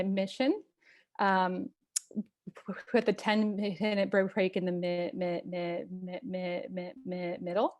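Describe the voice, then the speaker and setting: A woman hosting an online event who stutters often on the starts of words as she introduces the first speaker over a video call. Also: mid-range voice. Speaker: event host.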